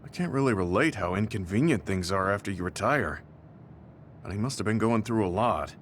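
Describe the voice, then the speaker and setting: A man playing a stoic, skeptical veteran soldier in a video game, with a grave, measured delivery. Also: fairly low pitch, animated, a little hiss or hum, close to an everyday voice. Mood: reserved. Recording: music behind dialogue. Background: game soundtrack music.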